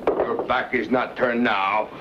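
A man's voice: mid-range voice, steady tone, takes it slowly, natural voice. Man playing a menacing boss, very noisy recording. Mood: cold threat. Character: menacing, mocking French Cajun trade boss, villain. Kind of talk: 1950s Western screen acting. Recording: muffled, very noisy vintage film soundtrack.

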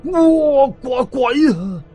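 A man's voice: very high voice, sing-song, drawn-out words, dark tone, soft background music, pitched above the performer's own voice, full character voice. A man voicing a timid, easily frightened village uncle in a horror story, agitated, with a Hong Kong Cantonese accent. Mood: terrified. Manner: agitated, trembling, pleading.

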